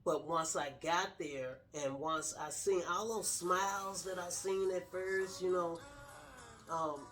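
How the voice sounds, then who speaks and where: A woman's voice: on the low side, fairly even intonation, slow, a bit bright, soft background music. A middle-aged woman sharing her personal story casually in an earnest, straightforward way. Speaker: guest telling personal story, wife of the host.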